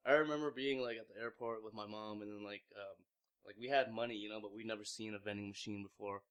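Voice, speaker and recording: A man's voice: on the low side, fairly even intonation, fast, a bit bright, smooth. A man in his mid twenties from Vietnam, telling his story in a casual interview with lots of fillers and restarts. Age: mid 20s. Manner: rambling, heavy use of "like", frequent restarts. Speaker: interviewee, young clothing brand founder.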